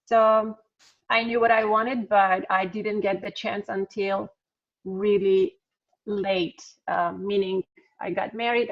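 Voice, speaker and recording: A middle-aged woman from Armenia with an Armenian accent, chatting casually on a video call, with frequent ums and little laughs. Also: mid-range voice. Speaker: alumna speaker. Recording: slightly dull video-call audio.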